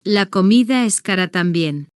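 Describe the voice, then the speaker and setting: Woman narrator for a learner story, slow and deliberate, dry close sound. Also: mid-range voice, expressive, clear, resonant tone, no room sound. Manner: slow, deliberate, evenly paced short sentences. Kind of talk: slow scripted first-person story narration for beginners.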